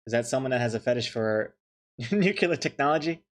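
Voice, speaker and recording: A man's voice: medium pitch, lively intonation, a little fast. A young man chatting casually on a stream, joking and thanking viewers as he goes. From New Zealand. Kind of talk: casual chat while drawing on stream.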